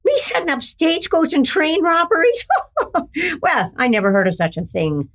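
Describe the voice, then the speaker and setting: Radio western villainess: crafty older outlaw matriarch, high and very animated, folksy and theatrical. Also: female voice, moderate pace. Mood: mock-innocent indignation. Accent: Irish brogue, later Texan. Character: sly older woman outlaw mastermind posing as Irish churchgoer. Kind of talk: old-time western radio acting.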